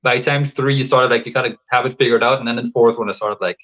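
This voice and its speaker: A man from Guyana speaks at a middle pitch in a reflective podcast interview. He talks in a measured, thoughtful way and often restarts his sentences. The audio sounds slightly dull and lo-fi. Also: steady tone, fairly bright tone. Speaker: podcast guest, coach.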